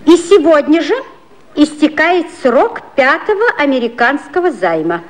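A woman playing a lively young secretary in a 1950s radio play, with declamatory delivery. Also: high-pitched, normal speed. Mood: declaiming. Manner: crisp declamatory reading aloud of newspapers. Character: lively young female secretary to the prime minister. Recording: old 1950s radio recording.

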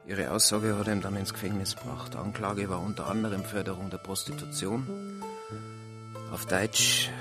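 An actor with a Bavarian accent playing a tactful investigator in a radio drama, measured and matter-of-fact. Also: male voice, on the low side, close to an everyday voice. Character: tactful male investigator on a private visit. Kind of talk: naturalistic radio drama acting.

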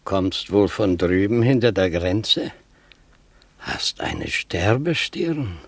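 An actor playing a blunt, suspicious country farmer in a radio drama, terse and probing, with a rough husky voice. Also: male voice, low-pitched, animated, takes it slowly, no room sound, exaggerated voice. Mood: wary, probing.

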